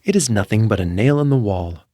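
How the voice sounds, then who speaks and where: A man's voice: fairly low pitch, expressive, brisk, mellow, dry recording, studio quality. A man voicing a haughty, self-assured young princess who scolds dismissively in a storybook tale. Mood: scornful. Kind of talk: storybook character voice.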